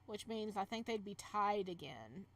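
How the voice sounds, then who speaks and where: A woman doing casual, animated commentary while reading and playing through a visual novel, exclaiming and repeating her phrases. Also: medium pitch, a little slow. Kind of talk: casual reaction commentary mixed with read-aloud narration.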